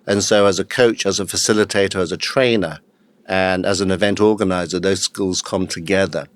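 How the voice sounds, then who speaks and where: A man in his fifties, a guest in a podcast interview, telling his story in a steady, matter-of-fact way with the odd hesitant "uh.". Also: low-pitched, brisk. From England. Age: 50s. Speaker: guest, executive coach.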